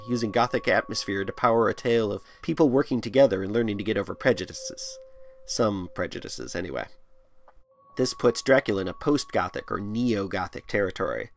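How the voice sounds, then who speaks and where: A man delivering a scripted commentary as a podcast host. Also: lowish voice. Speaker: podcast host and audio drama maker.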